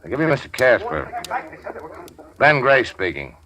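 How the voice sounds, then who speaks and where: A man's voice: mid-range voice, very animated, measured pace, highs cut off, noisy background. A man playing a cool-headed gangster go-between, dry and wisecracking, in 1940s radio drama style.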